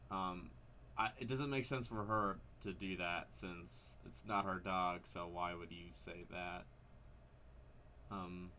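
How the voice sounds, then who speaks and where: A man's voice: deep voice, bright, forceful tone, a little hiss or hum. A man giving casual, unscripted game commentary, hesitant and rambling as he thinks aloud. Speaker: video creator commenting on a horror game.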